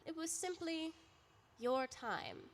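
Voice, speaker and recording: A woman playing Death in a radio drama as a formal, deadpan and theatrical reaper with dry wit. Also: very high-pitched, takes it slowly, slight background noise, full character voice. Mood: wry, mildly offended.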